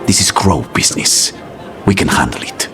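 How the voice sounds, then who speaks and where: A man's voice: deep voice, animated, normal speed, a crowd in the background. A man voicing a smug, self-assured assassin, dismissive and theatrical, in a video game cutscene.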